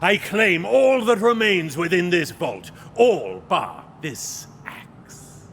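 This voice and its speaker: Creaking, imperious undead spirit commander. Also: male voice, high-pitched, sing-song, full character voice. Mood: haughty, commanding. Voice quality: gravelly, creaking, hissing. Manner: slow, grandiose, menacing, swinging from whisper to shout. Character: imperious undead Nighthaunt spirit commander, cruel, disgusted by the living. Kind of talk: theatrical video game cutscene acting.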